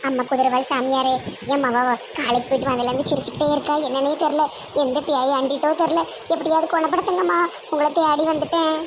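A woman's voice: high voice, fairly even intonation, quick pace, noisy background. A woman playing a superstitious, scolding mother in a comic family skit, nagging and lamenting in a southern Tamil Nadu accent. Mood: pleading.

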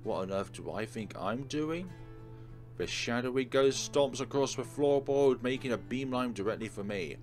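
A man's voice: mid-range voice, lively intonation, normal speed, a little mellow. A man hosting a let's play, reading a visual novel aloud dramatically with casual commentary. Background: game music.

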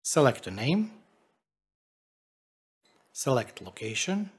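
A man's voice: mid-range voice, sing-song, slow, slightly rough. A man in his forties, a non-native English speaker, explaining a tech tutorial emphatically as a video host. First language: not English. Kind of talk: tutorial narration to camera.

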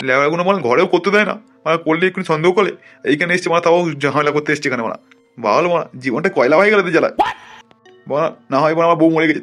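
A man's voice: fairly high pitch, fairly bright tone, lightly acted. A man voicing an irritable, coarse widower in a comic cartoon, grumbling and swearing. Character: irritable, crude widowed man sneaking into the woods. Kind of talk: comic cartoon dubbing in colloquial Bengali.

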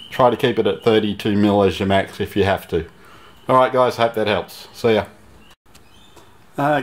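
Middle-aged male DIY vlogger, lowish voice, casual and halting, narrating from behind a handheld camera outdoors. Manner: halting, pausing mid-sentence to find words. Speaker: DIY bus-conversion vlogger. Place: outdoors in a stripped vehicle body. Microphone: handheld camera, operator behind it.

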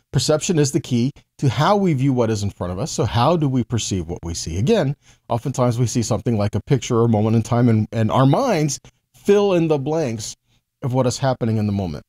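A man's voice: medium pitch, warm tone. An American man in his forties giving a conversational Bible teaching, explaining step by step and checking in with short tags. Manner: explanatory, with frequent check-in tags like okay and right.

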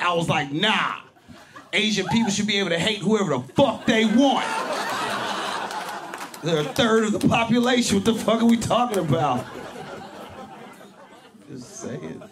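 A man in his forties from Berkeley, California, doing a profane, animated stand-up set on a club stage with a handheld mic. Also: high-pitched. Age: 40s. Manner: loud, animated, profane punchlines with shouted peaks. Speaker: stand-up comedian. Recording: live club with crowd laughter. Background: audience laughter.

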